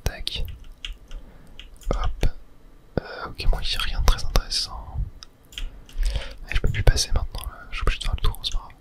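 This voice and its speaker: A man from whispers casual ASMR gaming commentary in a breathy, unvoiced voice, murmuring his thoughts aloud. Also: moderate pace, pauses often. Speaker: ASMR gaming video host.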